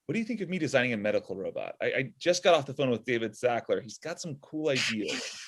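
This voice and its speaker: A man plays a frustrated, sardonic inventor in his thirties. It is an everyday, mid-pitched voice in a comedy table read over a home video call. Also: sing-song, quick pace. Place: small room at home.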